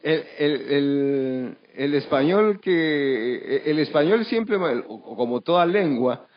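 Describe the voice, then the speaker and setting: A man on a discussion panel, speaking off the cuff in a halting way, searching for words and adding frequent tag questions. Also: a little high, expressive. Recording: dull, lo-fi event recording.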